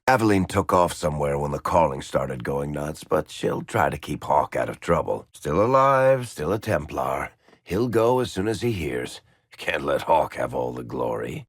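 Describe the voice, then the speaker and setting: A male actor voicing a sardonic dwarf rogue and storyteller in a fantasy video game, with a gravelly, husky voice and a dry, teasing, wisecracking delivery. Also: rumbling low, no room sound, full character voice. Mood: matter-of-fact.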